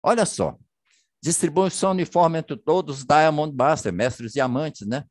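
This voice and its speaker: A man's voice: fairly high pitch, measured pace, darkish tone, no room sound, studio quality. A man presenting over a video call, chatty and full of checking questions as he walks through a plan. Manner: chatty, many checking tags and rhetorical questions. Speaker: business presenter. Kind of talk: presentation over shared slides. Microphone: computer mic on a video call.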